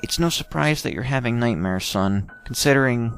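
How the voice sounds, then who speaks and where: A man voicing a sleepy, concerned mother who gently reassures her young son in a narrated scary story. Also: medium pitch, expressive, normal speed, soft background music, voice pushed up, close to an everyday voice. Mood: soothing.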